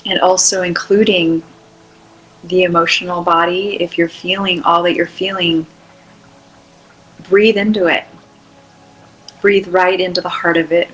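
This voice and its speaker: A woman leading an unscripted guided meditation in a soothing, unhurried way, with long pauses between short phrases. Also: fairly low pitch, level tone, fairly bright tone, rough recording.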